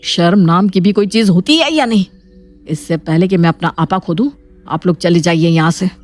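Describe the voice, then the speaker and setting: A woman's voice: on the low side, moderate pace, darkish tone, soft background music, natural voice. A woman voicing a stern, proud father in a cartoon family drama, speaking emphatically. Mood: outraged.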